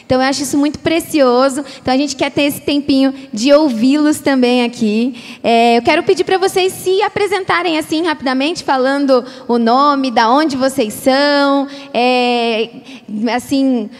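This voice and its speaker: A woman's voice: sits high, normal speed. A woman moderating a panel for a missionary school, explaining its training to an audience in a teacherly way. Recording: echoey hall sound.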